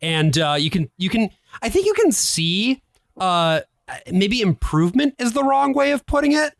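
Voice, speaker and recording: A man's voice: high voice, sing-song, normal speed, gravelly, no echo, studio quality. A man co-hosting a podcast, introducing and discussing material in casual commentary. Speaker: podcast co-host.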